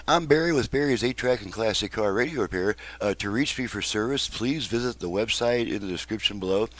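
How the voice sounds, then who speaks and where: A man with an American accent and a fairly low voice hosts a hands-on repair demonstration. He talks casually and directly to his viewers, like a technician at work explaining each step. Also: a bit bright. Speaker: host, radio repair technician.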